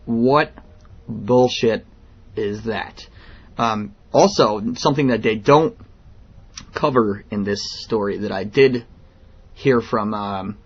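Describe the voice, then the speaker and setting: A man's voice: fairly low pitch, takes it slowly, long pauses, breathy edge. Man hosting talk radio, dull lo-fi sound. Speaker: radio show host. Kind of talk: opinionated talk radio monologue.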